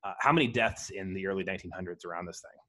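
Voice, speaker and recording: A man's voice: deep voice, racing, sharp tone. A man hosting a podcast interview, talking conversationally, asking open questions and dropping jokey asides. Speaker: podcast host. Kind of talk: casual interview chat.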